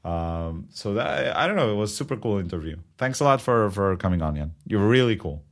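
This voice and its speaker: A man with an Israeli accent co-hosts a podcast in a loose, chatty way, quick to joke at his own expense. His voice sits fairly low. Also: lively intonation. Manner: chatty, joking, self-deprecating. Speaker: podcast co-host. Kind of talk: casual podcast conversation.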